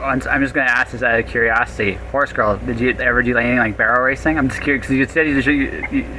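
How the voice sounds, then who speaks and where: A man hosting a podcast, asking questions in an informal, halting way and repeating his words. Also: mid-range voice, steady tone. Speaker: podcast host. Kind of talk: casual interview chat. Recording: clear background noise.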